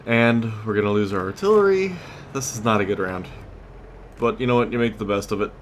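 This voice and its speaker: Low-voiced man commentating on a game. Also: animated, fairly quick, slight background noise. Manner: conversational, thinking aloud. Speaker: let's play host. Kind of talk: casual gameplay commentary.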